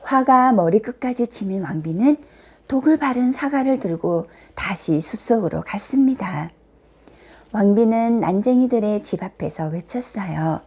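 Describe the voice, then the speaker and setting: A woman reading a children's fairy tale aloud as a teacher-storyteller, with careful, expressive scripted narration. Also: mid-range voice, a little slow, slightly rough.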